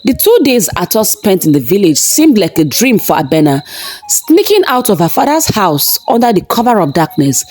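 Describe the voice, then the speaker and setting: A woman with a fairly low voice narrates a folktale from a script. Her delivery is measured and dramatic, with very lively swings in pitch. Music plays softly behind her. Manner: measured, expressive storytelling delivery. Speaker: storyteller and narrator. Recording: narration over background music. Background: background music.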